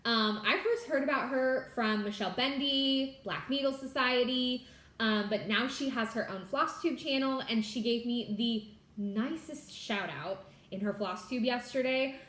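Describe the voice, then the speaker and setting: A woman's voice: fairly high pitch, unhurried, a bit bright, reverberant. A young adult woman from California, chatting casually to camera as the host of a stitching vlog, bubbly and full of fillers like "like" and "y'all". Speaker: Flosstube host.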